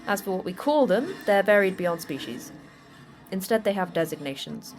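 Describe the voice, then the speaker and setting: A woman playing a terse, teasing manager of a secret monster facility in a fiction podcast, with a brisk, dry, flippant delivery. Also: mid-range voice, big pitch swings, faint music behind, close to an everyday voice. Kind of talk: audio fiction podcast acting.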